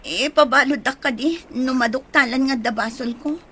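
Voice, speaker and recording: A woman's voice: high voice, moderate pace, pushed and bright, husky, heavily acted. A woman playing a defensive, irritable young woman in Ilocano radio drama, with an Ilocano accent. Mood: defensive, irritated.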